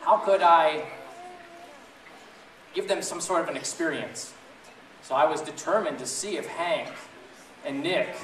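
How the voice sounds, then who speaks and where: A man performs as a playful, theatrical storyteller for a gathering of families, checking in with his listeners as he goes. He sounds distant from the microphone, in a roomy, reverberant space with clear background noise. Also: fairly high pitch. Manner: theatrical, playful, addresses the audience with questions. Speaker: children's book reader and blogger. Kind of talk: animated read-aloud to a group of families. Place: large echoey indoor room at a school event. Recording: echoey noisy room, distant mic.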